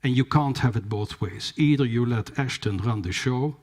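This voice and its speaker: A man giving a formal conference talk, measured and hesitant, with fillers and restarts. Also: mid-range voice, darkish tone. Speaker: conference speaker. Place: conference hall.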